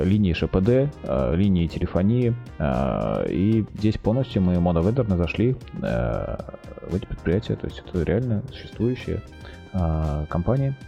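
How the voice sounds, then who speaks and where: A man explaining products as a guest in a podcast interview, speaking haltingly with drawn-out hesitations. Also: deep voice, creaky, mellow, muffled recording. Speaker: guest, telecom equipment maker's project manager.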